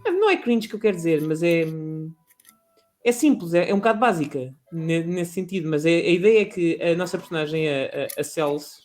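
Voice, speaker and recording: Portuguese guy with a highish voice, rambling excitedly about old games, lots of restarts. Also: fairly quick, faint music behind. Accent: European Portuguese. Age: adult. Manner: animated, rambling with restarts and fillers. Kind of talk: casual gaming chat.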